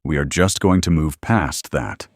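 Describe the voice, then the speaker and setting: A man's voice: very deep voice, a little slow, dry recording, clean studio sound. A man co-hosting a horror podcast, giving scripted, incredulous and nervous reactions to the story. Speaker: podcast co-host.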